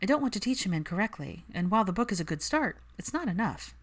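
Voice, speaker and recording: A woman's voice: mid-range voice, sing-song, fast, voice pushed up. A woman voicing an earnest, strong-willed young witch with a firm, argumentative manner in audiobook dialogue.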